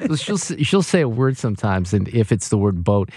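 Male radio host, animated teasing storyteller, very expressive pitch, dry booth mic. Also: a little fast, husky, dry recording. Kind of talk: casual on-air chat.

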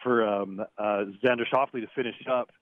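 A man calling in as a golf writer guest. He talks in a chatty, halting way, restarts words often and chuckles now and then. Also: medium pitch, fairly even intonation. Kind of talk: phone interview. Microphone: phone. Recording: tinny dry phone line.

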